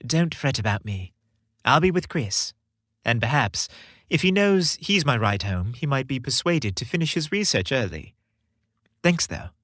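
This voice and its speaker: A man's voice: medium pitch, very animated, unhurried, fairly bright tone, no echo, clean studio sound. A man voicing a considerate, earnest young man in a romantic storybook tale, with a reassuring manner. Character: gentle, considerate, tall young man in love.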